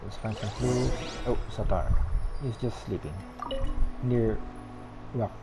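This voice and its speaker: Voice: medium pitch, slow, gravelly, over loud music. A Filipino-accented streamer giving casual game commentary, reading lines aloud, often correcting themself and making giggly asides. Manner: self-correcting, apologetic about pronunciation, giggly asides. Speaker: gameplay streamer.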